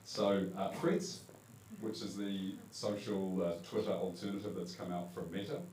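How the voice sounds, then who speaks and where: A man's voice: low voice, little pitch movement, measured pace, darkish tone. A man with a New Zealand accent giving a conversational presentation to a live audience at an event, correcting himself now and then with fillers. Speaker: conference panel speaker. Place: event hall. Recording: echoey hall, distant mic, faint hum.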